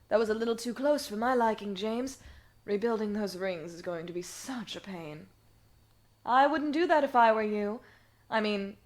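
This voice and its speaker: A woman's voice: mid-range voice, lightly acted. A woman voicing a smug, resentful genius scientist villainess in an animation fandub, with a haughty, articulate, theatrical delivery. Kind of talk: dramatic animation fandub acting.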